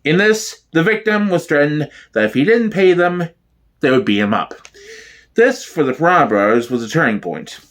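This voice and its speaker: A man giving a dramatic, deadpan reading as the narrator of a mock documentary. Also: fairly high pitch, moderate pace. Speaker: solo performer, narrator.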